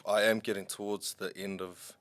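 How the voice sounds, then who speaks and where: A laid-back man in his thirties with a New Zealand accent talks easily about himself. His voice sits a little low. The sound is dry and close, with no room in it. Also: moderate pace, no echo. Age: 30s. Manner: relaxed, laid-back, chatty. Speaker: podcast guest, rugby player. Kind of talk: casual chat.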